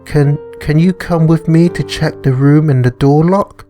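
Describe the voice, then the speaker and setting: Man putting on a high-pitched, haughty, snappy female character. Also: unhurried, warm tone, soft background music, lightly acted. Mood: shaken, hesitant. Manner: indignant, demanding. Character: haughty, hot-tempered female animal character, snapping and indignant.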